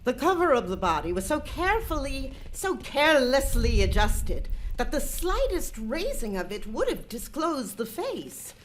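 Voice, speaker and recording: A woman's voice: high-pitched, sing-song, a little slow, hardly any pauses, slight background noise, rough recording. A woman narrating a live radio drama in a solemn storytelling style.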